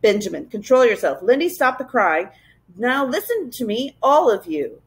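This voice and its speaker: A woman voicing a stern, calm male professor who admonishes children in a storybook. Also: fairly high pitch. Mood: sharp, commanding. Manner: measured, admonishing.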